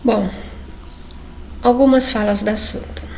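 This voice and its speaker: A woman's voice: on the high side, steady tone, a little slow, vocal fry, a little mellow, slight background noise. A woman presenting a comic book to her viewers in a casual, chatty way, often checking in with them. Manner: chatty, addresses viewers, frequent tag questions. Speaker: book reviewer. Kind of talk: casual presentation reading comic excerpts aloud.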